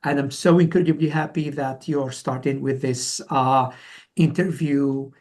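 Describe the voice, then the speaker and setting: A man in his forties from Algeria answering as an interview guest, telling his story conversationally in a halting way, often repeating words and restarting. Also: medium pitch, fairly even intonation, a little mellow. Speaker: interview guest, company founder.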